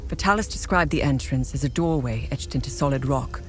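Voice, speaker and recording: An actress playing a weary, injured journalist in a video game, frank and earnest, wary but helpful. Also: female voice, a bass voice, a little fast, soft background music. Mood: earnest, explanatory. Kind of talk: naturalistic video game dialogue acting.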